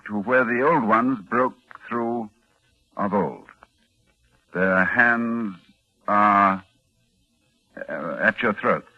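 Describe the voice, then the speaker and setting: An actor playing a dignified, fearful university scholar, with dramatic educated delivery in a 1940s radio horror drama. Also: male voice, lowish voice, muffled recording. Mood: halting, uneasy. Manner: dramatic, educated, hesitant when alarmed. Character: learned male university librarian, dignified, appalled and fearful. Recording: band-limited 1940s radio recording with music.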